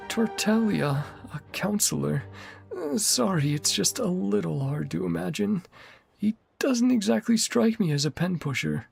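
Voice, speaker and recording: A man's voice: sits high, animated, measured pace, gravelly. A man streaming a game, commenting casually and reading game text aloud. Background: faint game music.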